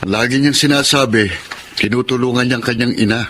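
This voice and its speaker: A man acts a young Filipino husband in radio drama style. His pitch is average, and he comes across as deferential but easily vexed. There is faint background noise. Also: steady tone, a little fast, bright, forceful tone, lightly acted. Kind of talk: Tagalog radio drama acting.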